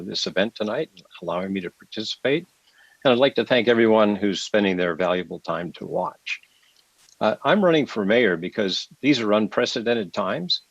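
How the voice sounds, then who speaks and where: An older man, a city council member running for mayor, giving a measured, formal opening statement with frequent fillers from a home study over a video call. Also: lowish voice, dry recording. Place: home study lined with bookshelves. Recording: dry, muffled video call audio.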